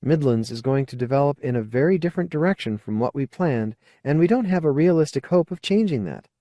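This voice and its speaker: A man's voice: on the high side, lively intonation, a little fast, mellow, no room sound, clean studio sound, pitched above the performer's own voice, close to an everyday voice. A man voicing a frank, earnest, coaxing woman in an audiobook. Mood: exasperated, frank. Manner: earnest, coaxing, plain-spoken. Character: frank, persuasive woman colonist, old friend. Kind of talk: audiobook character dialogue.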